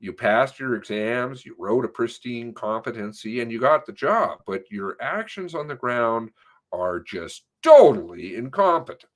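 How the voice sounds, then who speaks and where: A man reads conversational testimony aloud at an unhurried pace. His pitch sits in a middle range but moves widely, and he leans on certain words to stress them. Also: very animated. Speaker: narrator reading an interview transcript aloud. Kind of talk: read-aloud of spoken interview transcript with commentary.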